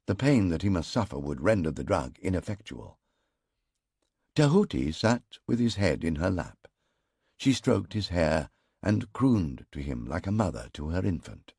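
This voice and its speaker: A man narrating a first-person historical story for an audiobook in a slow, grave, measured way. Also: low voice, gravelly, darkish tone, slightly rough, no echo, clean studio sound.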